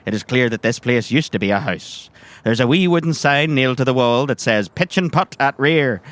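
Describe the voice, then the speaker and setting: A man's voice: mid-range voice, lively intonation, normal speed, fairly bright tone, no room sound. A man acts as game master. In a mid-range voice, he narrates the setting of a tabletop game in descriptive detail.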